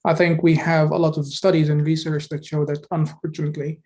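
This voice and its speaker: Higher-pitched man, deliberate speaker. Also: little pitch movement, darkish tone. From Egypt. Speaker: community deputy speaking in a debate. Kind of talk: speech to an online meeting.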